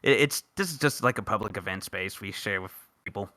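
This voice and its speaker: A man's voice: fairly low pitch, fairly quick, pushed and bright, no echo. A man talking casually as a co-host on a podcast. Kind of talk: casual chat.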